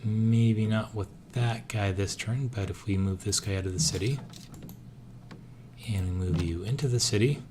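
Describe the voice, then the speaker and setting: A hoarse man casually thinking aloud, with many pauses and filler sounds, as he narrates his moves in a strategy game. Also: fairly low pitch, noisy background. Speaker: let's-play gamer.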